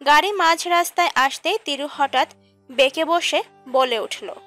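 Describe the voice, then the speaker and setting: High-pitched woman narrating a romance. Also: a little slow, sharp tone, no room sound. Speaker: story narrator. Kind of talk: scripted narration of romantic fiction. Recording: music under the voice. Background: background music.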